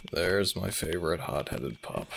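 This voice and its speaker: A man's voice: deep voice, vocal fry, pushed and bright, a little hiss or hum, heavily acted. A man playing a smug, teasing alpha werewolf pack leader and older brother in an audio roleplay drama.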